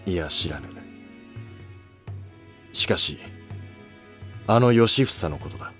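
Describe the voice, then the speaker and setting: A man's voice: deep voice, lively intonation, slow, a bit bright, soft background music, exaggerated voice. A man voicing an ailing, dignified retired emperor in a historical cartoon drama, terse, grave and commanding. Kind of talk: Japanese historical animated drama acting.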